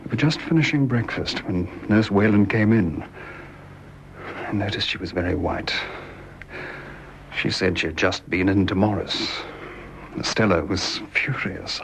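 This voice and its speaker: An actor playing a shaken, restrained young gentleman in a period radio drama. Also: lowish voice, vocal fry, a little hiss or hum, rough recording.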